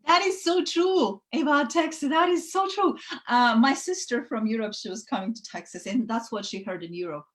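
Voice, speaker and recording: A woman's voice: a little high, lively intonation, normal speed, a bit bright. A woman from the Soviet Union whose first language is Russian, chatting casually on a video call with lots of fillers and restarts. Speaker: guest, network founder. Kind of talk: casual conversation.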